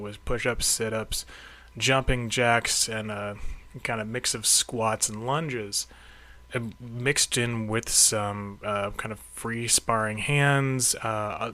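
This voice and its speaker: A man's voice: on the low side, bright, forceful tone. A man talking off the cuff in a casual, reflective audio diary, with an encouraging manner. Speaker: host of a karate training audio log.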